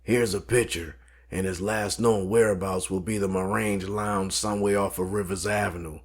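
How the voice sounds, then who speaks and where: A man acts out a smooth mob fixer for a crime audio drama. His voice is very deep with a rough, husky edge. He talks formally and deliberately, polite on the surface and coolly threatening underneath. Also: fairly even intonation. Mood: cool, controlled menace.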